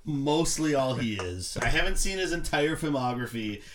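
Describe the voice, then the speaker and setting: A man's voice: mid-range voice, animated, moderate pace, a little hiss or hum. A man giving casual, blunt and critical film opinions as a podcast panelist.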